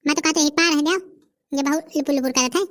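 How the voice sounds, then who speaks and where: A woman's voice: sits high, fairly even intonation, fast talker, lightly acted. A woman voicing a chatty, teasing village character in a comedy cartoon, with a Bundeli accent.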